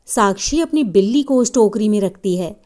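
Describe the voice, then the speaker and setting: A woman's voice: mid-range voice, lively intonation, normal speed, warm tone. A woman narrating a scripted children's story as a storyteller.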